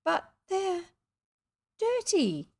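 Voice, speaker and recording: A sweet little girl from a storybook played by a woman, with a high, girlish voice. She speaks with innocent, tender earnestness. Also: huge pitch swings, extremely slow, vocal fry, a little mellow, heavily acted. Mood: curious, frank. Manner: earnest, tender, wide-eyed.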